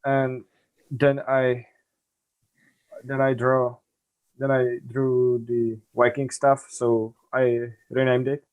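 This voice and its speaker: A man's voice: medium pitch, level tone, clear, resonant tone, narrow, tinny audio. A man from the Czech Republic with a Czech accent, speaking hesitantly in a casual interview. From northern Czech Republic. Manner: hesitant, halting phrasing. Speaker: podcast guest, artist.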